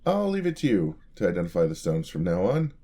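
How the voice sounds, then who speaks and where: A man's voice: deep voice, wildly swooping pitch, fairly quick, exaggerated voice. A man playing a sweet, eager young paladin apprentice in a fantasy ASMR roleplay, speaking politely and earnestly to the listener. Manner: polite, earnest, gently encouraging.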